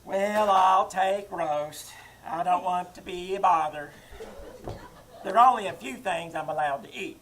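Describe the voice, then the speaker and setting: A woman's voice: fairly low pitch, a little slow, slight background noise, lightly acted, rough recording. A woman playing a martyred old mother. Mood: fussy. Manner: passive-aggressive, self-pitying repetition. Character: nagging, martyred elderly mother-in-law. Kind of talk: 1940s radio comedy acting. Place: live theater stage.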